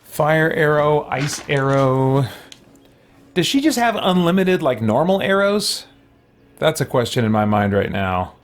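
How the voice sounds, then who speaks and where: A man's voice: fairly high pitch, big pitch swings, unhurried. A man giving casual let's play commentary as a video host, thinking aloud with muttered asides and exclamations.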